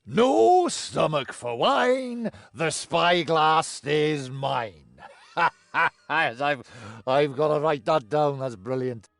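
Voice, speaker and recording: Creaky, high-pitched tipsy inventor. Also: male voice, big pitch swings, extremely slow, fairly bright tone, dry recording, full character voice. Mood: tipsy good cheer. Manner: theatrical, rambling, self-amused. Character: tipsy, jolly, eccentric inventor and drinking buddy. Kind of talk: fantasy game acting.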